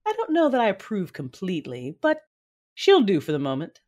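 A woman playing a proud, imperious mother in a sci-fi audio drama, narrating formally and deliberately. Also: mid-range voice, huge pitch swings, a little mellow. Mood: teasing.

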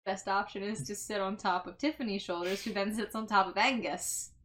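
A woman banters as a player in a tabletop game. Her voice is bright and sharp, at an average pitch, with a casual, playful delivery. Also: steady tone, a little fast, slight background noise.

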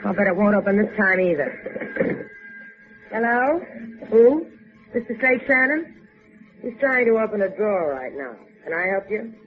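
A woman's voice: mid-range voice, lively intonation, moderate pace, long pauses, faint music behind, lightly acted. An actress playing a cool, wisecracking woman sidekick in a 1950s radio adventure drama. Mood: wry, teasing. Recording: muffled 1950s radio recording.

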